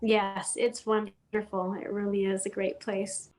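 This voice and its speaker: A woman's voice: medium pitch, level tone, a little slow, a little mellow. A woman raised in Denmark, a guest on a home decor show, talking in a friendly, chatty way with frequent ums and restarts. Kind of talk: casual interview chat.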